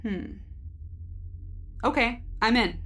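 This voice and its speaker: A woman's voice: a little high, takes it slowly, a bit bright. Cool, cynical female security officer. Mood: wry, decisive. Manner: terse, wry, matter-of-fact. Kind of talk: science fiction audio drama acting.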